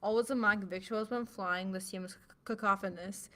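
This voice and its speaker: A woman's voice: medium pitch, fairly even intonation, normal speed, fairly bright tone. A woman acting as news reader, delivering a scripted amateur report.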